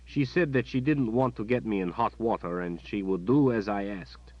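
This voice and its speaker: A man's voice: fairly low pitch, moderate pace, dull audio, lightly acted. A man voicing a dutiful, nervous palace guard captain who speaks in a respectful, deferential manner.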